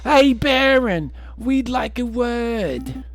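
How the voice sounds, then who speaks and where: A man voicing an eager, excitable young bird sidekick in a video game, chirpy and exclamatory. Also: medium pitch, drawn-out words, no room sound, faint music behind, pitched above the performer's own voice. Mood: bold.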